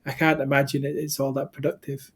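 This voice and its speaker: A man's voice: medium pitch, completely flat delivery, fast talker, slightly rough. A man from the UK hosting an interview, rambling through anecdotes with many restarts. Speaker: interview host. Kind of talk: interview conversation.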